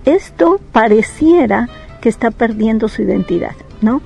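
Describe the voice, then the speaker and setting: A woman from Peru speaks with a gravelly, dark tone. She explains things in a reflective way, often pausing to check in with little tag questions. Soft music plays faintly underneath. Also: on the high side, expressive. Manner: reflective, thinks aloud with many tag questions. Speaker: psychologist interviewee. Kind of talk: interview answers, explanatory.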